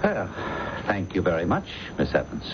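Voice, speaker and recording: An actor playing a methodical, guarded police inspector in a 1970s radio drama, clipped and official. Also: male voice, low-pitched, wildly swooping pitch, normal speed, music in the background, full character voice. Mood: probing. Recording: muffled, noisy old radio recording.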